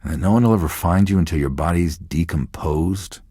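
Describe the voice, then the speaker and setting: A deep-voiced, bitter radio DJ. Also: male voice, a little fast, husky, no echo, studio quality. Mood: self-pitying, dry. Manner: deadpan, sardonic, self-deprecating. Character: depressed, bitter divorced middle-aged male radio DJ. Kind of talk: radio show hosting, comic self-pitying banter.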